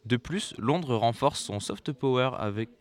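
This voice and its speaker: A man's voice: mid-range voice, measured pace. A young man reading a prepared school report aloud, with rhetorical asides and occasional self-corrections. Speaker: student presenter.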